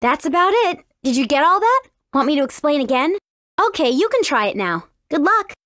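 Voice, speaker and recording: A woman voicing a brave, friendly teenage warrior princess in a video game, with an earnest, clearly spoken manner. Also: sits high, sing-song, a little fast, a bit bright, no room sound, clean studio sound. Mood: upbeat, eager. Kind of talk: scripted video game character dialogue.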